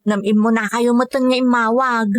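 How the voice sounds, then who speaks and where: A woman's voice: on the high side, fairly even intonation, normal speed, no echo, clean studio sound, close to an everyday voice. A woman with an Ilocano accent playing a devoted, grateful daughter working abroad as a caregiver in a radio drama, speaking earnestly and respectfully. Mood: respectful, reassuring.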